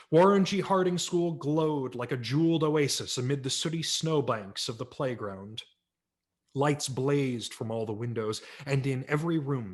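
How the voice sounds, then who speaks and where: Wry, expressive male storyteller. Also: mid-range voice. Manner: wry, expressive storytelling with small character voices. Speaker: audiobook narrator. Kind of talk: dramatic reading of a memoir story. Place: home, virtual library reading.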